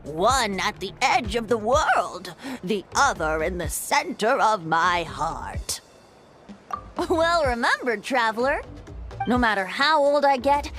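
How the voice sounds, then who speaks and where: Lilting, high-voiced boyish bard. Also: female voice, a bit bright. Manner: lilting, theatrical, poetic. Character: playful, whimsical young male bard, teasing and carefree. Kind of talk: anime game voice acting.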